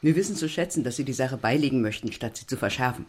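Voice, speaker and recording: Deep, husky, well-bred wife. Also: female voice, lively intonation, fast, darkish tone, heavily acted. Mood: conciliatory. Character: courteous, well-bred wife and mother keeping up appearances. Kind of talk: naturalistic stage-play dialogue acting.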